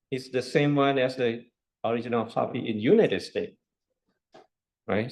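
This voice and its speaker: A man's voice: mid-range voice, darkish tone. A man lecturing a university class, explaining step by step with rhetorical questions and repetition. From Thailand.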